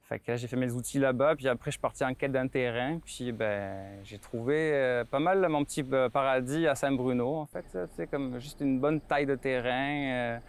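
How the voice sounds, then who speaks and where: A man's voice: medium pitch, moderate pace, few pauses, smooth. A man from France, a market gardener, telling his story casually in an interview, loose with fillers.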